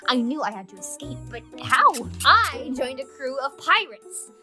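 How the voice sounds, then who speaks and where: A woman voicing a principled teenage waterbender girl, speaking in an earnest, expressive way, in a cartoon dub. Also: high voice, unhurried, over music. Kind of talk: fan comic dub acting.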